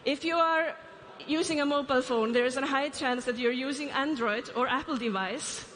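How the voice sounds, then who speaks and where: High-voiced Icelandic woman on stage. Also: normal speed, a bit bright, slight background noise. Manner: asks the audience questions, light asides. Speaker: keynote speaker, CEO. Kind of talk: conference keynote. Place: large conference stage. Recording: reverberant hall, faint ambience.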